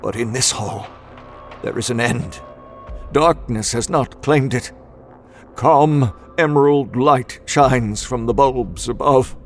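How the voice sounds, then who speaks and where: Slow, measured male horror narrator. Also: mid-range voice. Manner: measured, dramatic, deliberate. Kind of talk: scripted first-person horror narration. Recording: music bed underneath.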